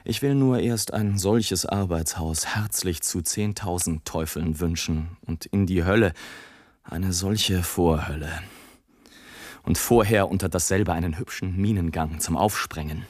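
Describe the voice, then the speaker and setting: An actor playing a sardonic airship traveller who looks down on mankind, mocking and oratorical in a literary radio play monologue. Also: male voice, low voice, measured pace, a little mellow. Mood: grimly cursing. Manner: mocking, oratorical, rolling long sentences. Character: sardonic male airship traveller, grimly mocking his fellow men.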